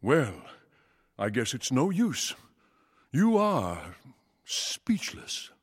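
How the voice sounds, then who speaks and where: A man's voice: on the high side, wildly swooping pitch, moderate pace, a bit bright, breathy edge, no room sound, studio quality, exaggerated voice. An actor playing a pompous, proud father swan in a children's story, with a resonant voice and flowery, oratorical delivery. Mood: solemn coaxing.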